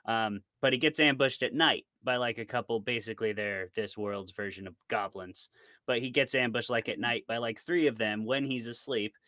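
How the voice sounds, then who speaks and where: A man's voice: mid-range voice, moderate pace, pushed and bright, no echo. A man talking informally as an interview guest, rambling casually through examples. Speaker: interview guest, author and podcaster. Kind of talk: casual chat about fiction.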